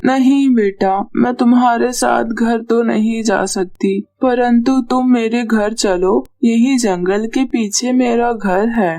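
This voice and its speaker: A woman's voice: a little high, fairly even intonation, takes it slowly, hardly any pauses, warm tone. A woman playing a wicked witch who poses as a kindly old woman in a cartoon story, with a coaxing, menacing manner. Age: older. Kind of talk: cartoon moral-story voice acting.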